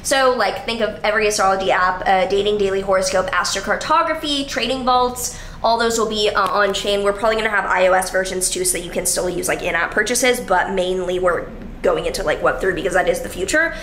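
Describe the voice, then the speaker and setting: Young woman, high bright voice, casual rambling vlog delivery full of fillers, recorded on an arm's-length camera in a roomy apartment. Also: a little fast, a little hiss or hum. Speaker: vlogger. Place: apartment living room. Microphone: handheld camera at arm's length. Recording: echoey room with faint ambience.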